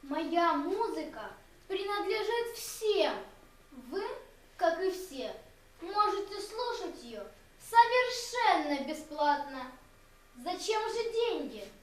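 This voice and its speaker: Woman playing a very high-voiced gentle musician. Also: sing-song, slow, exaggerated voice. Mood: quietly firm. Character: gentle, principled poor young woman musician. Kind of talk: fairy-tale audio drama. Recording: very echoey, distant, music behind.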